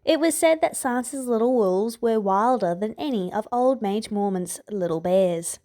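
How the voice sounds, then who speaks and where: A woman's voice: a little high, moderate pace, warm tone. A woman reading a scripted story aloud as its narrator. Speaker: podfic author and narrator. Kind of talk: scripted fan fiction narration.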